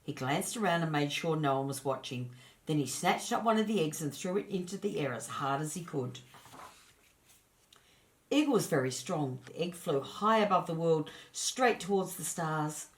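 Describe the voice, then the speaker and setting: Low-voiced woman reading a children's story. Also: lively intonation, moderate pace. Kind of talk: scripted children's story narration.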